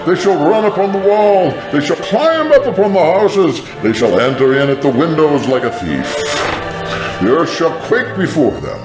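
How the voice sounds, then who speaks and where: A man's voice: a little high, sing-song, normal speed. A man voicing a biblical prophet proclaiming doom, declaiming scripture in a grand, ominous manner. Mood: ominous proclamation. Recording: echoing voice over music. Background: music.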